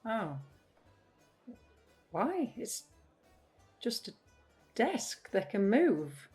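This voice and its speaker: A woman's voice: fairly high pitch, sing-song, very slow, a little mellow, soft background music, full character voice. A woman acting in an audio play as a restless, literal-minded, blunt adult woman with a matter-of-fact way of talking. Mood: blunt, unbothered. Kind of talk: audio drama dialogue.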